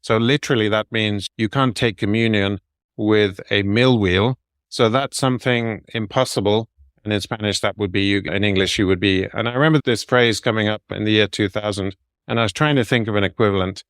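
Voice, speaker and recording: Low-voiced man explaining steadily. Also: steady tone, fairly bright tone, clear, resonant tone, no room sound, studio quality. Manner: measured, explanatory, gives numbered advice. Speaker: guest, medical translator. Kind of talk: interview answers.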